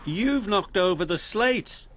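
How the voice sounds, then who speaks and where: A man's voice: medium pitch, huge pitch swings, moderate pace, a bit bright, slight background noise. A man voicing an attentive, helpful banksman who calls out guidance in a children's building-site storybook. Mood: alarmed. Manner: calling out, guiding.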